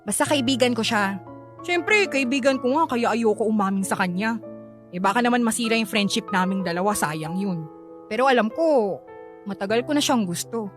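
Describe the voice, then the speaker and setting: A woman's voice: a little high, expressive, normal speed, music in the background, lightly acted. A woman acting a stubborn, guarded young woman in a radio drama, casual and bickering, with a Filipino accent. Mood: guarded, confessing.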